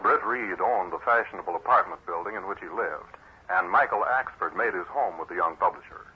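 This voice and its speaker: A muffled old radio recording with faint hiss carries a male narrator. His voice is low, delivering scripted story narration with 1940s drama. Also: a little fast.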